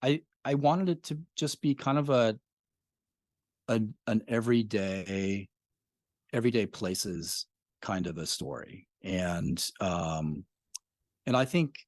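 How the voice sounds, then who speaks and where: A man's voice: fairly low pitch, lively intonation, slow pace, pauses often, a little mellow, dry recording, studio quality. A middle-aged man, a podcast creator talking as a guest in a thoughtful, hesitant way. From Washington State. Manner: thoughtful, hesitant, many fillers and restarts. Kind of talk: casual interview chat.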